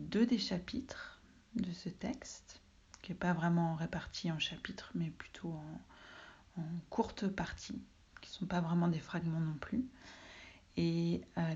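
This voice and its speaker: A woman from Russia whose first language is Russian, calmly reading a literary text aloud from her home office, often soft and breathy. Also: deep voice, dull audio. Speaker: reader, translator.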